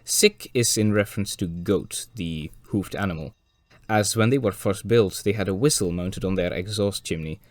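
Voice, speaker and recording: A man with a slightly hoarse voice from a cold, narrating a scripted railway documentary with dry, wry asides. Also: lowish voice, normal speed, no echo. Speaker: railway video presenter.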